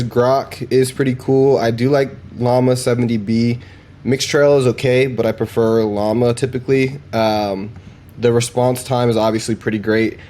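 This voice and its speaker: A man presents a demo in a casual, off-the-cuff way. His talk is informal and slangy, with an enthusiastic manner and an everyday mid-pitched voice. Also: a little mellow. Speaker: presenter demonstrating AI voice assistants.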